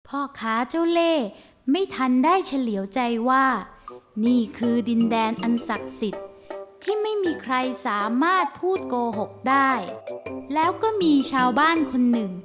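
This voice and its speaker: A woman narrating a children's cartoon tale in an expressive storybook manner. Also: sits high, normal speed, over music.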